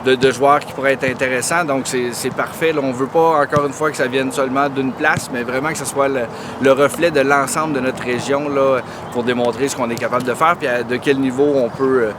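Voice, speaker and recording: A man's voice: mid-range voice, fairly even intonation, fairly quick. A man speaking as a club representative, explaining steadily in an outdoor interview. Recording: noisy outdoor background.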